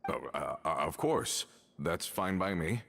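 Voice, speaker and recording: A man's voice: low-pitched, expressive, measured pace, gravelly, background noise, heavily acted. An actor playing a weary, humble older working man in a video game, mild and nostalgic. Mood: hesitant, agreeable.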